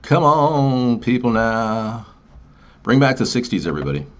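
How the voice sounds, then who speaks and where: A man's voice: mid-range voice, big pitch swings, unhurried. A man hosting a casual live chat show, talking in a rallying, folksy way.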